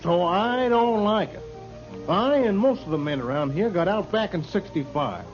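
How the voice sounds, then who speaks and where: High-pitched belligerent drunken frontiersman. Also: lively intonation, moderate pace. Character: belligerent drunken frontier townsman spoiling for a fight. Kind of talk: 1950s western radio acting. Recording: muffled old radio broadcast, loud music.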